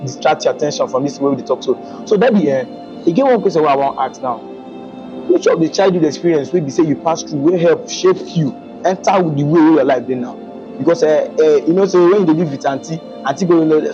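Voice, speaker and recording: A male talk show host with a Nigerian Pidgin accent, animated and exclaiming often as he chats with a guest in English and Pidgin. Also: fairly high pitch, muffled recording. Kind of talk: casual interview chat.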